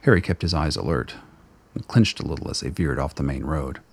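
A man narrating a radio play in a measured, descriptive storytelling manner. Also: extremely low pitch, expressive, creaky, breathy edge. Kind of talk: scripted narration.

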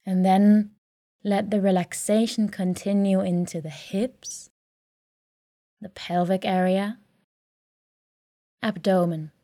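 A woman leading a guided sleep meditation in a calm, soothing, gentle way, leaving long pauses between phrases. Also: mid-range voice, no echo, studio quality. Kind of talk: guided sleep meditation, soft scripted narration.

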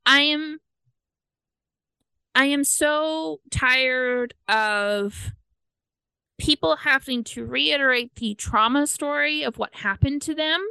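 Woman co-host, earnest and chatty, crisp clear tone, dry close sound. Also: a little high, bright, forceful tone, no echo. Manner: earnest, quick asides with laughs. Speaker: podcast co-host. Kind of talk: casual book discussion.

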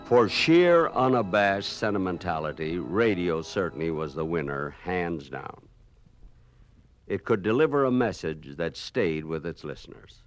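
A man's voice: low voice, expressive, unhurried. A man delivering scripted, emphatic narration for a documentary about radio.

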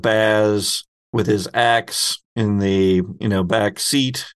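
Man, low voice, game master, casual tabletop narration, explaining rules. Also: steady tone, takes it slowly, clear, resonant tone.

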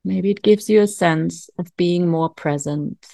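Female meditation guide, lowish and very unhurried, calm and soothing, leaving long pauses, close dry sound. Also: creaky, no echo. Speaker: meditation coach.

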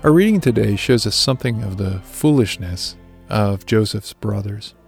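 A man's voice: lowish voice, expressive, moderate pace, creaky, soft background music. A man delivering a scripted religious reflection as a devotional speaker.

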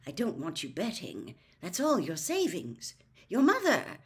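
A woman voicing a mild, cautious middle-aged wizard father, polite and gently hesitant, in an audiobook. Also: fairly low pitch, huge pitch swings, unhurried, exaggerated voice. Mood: concerned. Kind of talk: audiobook character voice.

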